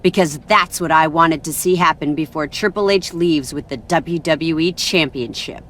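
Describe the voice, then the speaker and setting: A smug, vindictive wrestling boss. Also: female voice, low voice, a bit bright, a little hiss or hum. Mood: menacing. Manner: taunting, deliberate threats. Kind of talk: video game cutscene acting.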